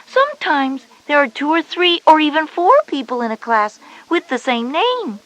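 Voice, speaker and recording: A woman's voice: high voice, very animated, normal speed, fairly bright tone, dry recording. A woman playing a knowing, slightly bossy older-sister schoolgirl, explaining things emphatically in an educational audio story. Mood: helpful, chatty.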